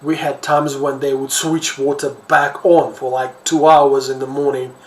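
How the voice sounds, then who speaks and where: A man's voice: mid-range voice, flat delivery, unhurried. A middle-aged man from Ukraine with a Ukrainian accent gives informal, rambling commentary, repeating words as he searches for his phrasing. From Kherson, Ukraine. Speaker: social commentator, host. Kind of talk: informal off-the-cuff news commentary. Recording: echoey room, distant mic, faint noise.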